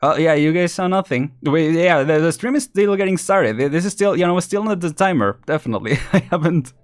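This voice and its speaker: A man chatting casually and rambling as he thinks out loud on a live game stream. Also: a little high, expressive, a little fast.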